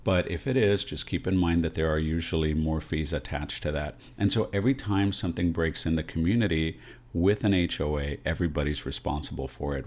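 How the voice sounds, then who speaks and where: American male realtor host, very low voice, explanatory direct-to-camera advice delivery with frequent check-ins. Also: a little mellow. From San Antonio, Texas, USA. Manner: explanatory, often checks in with "right?".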